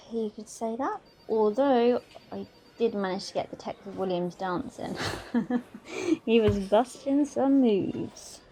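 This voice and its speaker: A woman's voice: on the high side, slow pace, warm tone, slight background noise. A woman playing a cheeky, teasing police detective in a naturalistic audio drama. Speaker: actor in an audio play.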